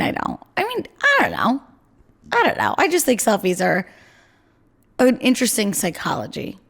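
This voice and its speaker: Chatty young woman with a gravelly, lively voice. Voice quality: low voice. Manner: chatty, self-deprecating, lots of "like" and "I mean". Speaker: podcast co-host. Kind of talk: casual chat. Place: home.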